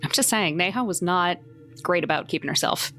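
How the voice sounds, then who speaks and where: A woman's voice: lowish voice, expressive, a little fast, pushed and bright, faint music behind. A woman playing a tabletop game, talking casually in character with a blunt, wry, informal manner.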